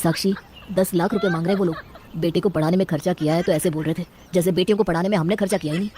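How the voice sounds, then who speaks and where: A woman's voice: lowish voice, fairly even intonation, rapid-fire, dark tone, slight background noise, voice pushed down, natural voice. A woman voicing a principled middle-aged father in a cartoon story, earnest and firm as he protests. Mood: indignant, proud. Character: devoted, principled middle-aged father defending his daughters.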